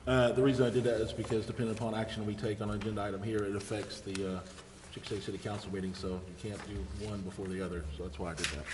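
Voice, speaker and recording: A man's voice: fairly low pitch, little pitch movement, very fast, few pauses. A man chairing a city council meeting as mayor, speaking in formal procedure and reading out agenda items. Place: indoor council chambers. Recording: echoey hall, distant mic, clear background noise.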